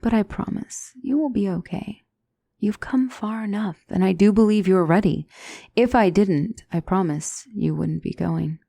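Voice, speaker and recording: A woman playing a gentle demonic mentor in an ASMR fantasy roleplay, speaking softly with formal, measured, reassuring diction and often near a whisper. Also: mid-range voice, gravelly, no room sound. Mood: calm reassurance. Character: gentle demonic tutor, female mentor to a young royal.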